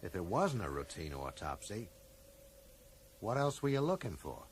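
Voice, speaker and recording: Suspicious investigator, lowish voice, insistent and blunt, radio drama acting, faint hiss of an old broadcast. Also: male voice, very animated, normal speed. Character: dogged, suspicious male amateur investigator with sense of justice.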